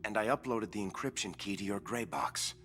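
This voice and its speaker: A doomed, tender lover in a game drama. His low voice is hushed and halting, often sinking toward a whisper, with prominent music behind it. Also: animated. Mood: grave, regretful. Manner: hushed, halting, breathy delivery. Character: dying tender male lover leaving a last recorded message. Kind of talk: dramatic video game acting.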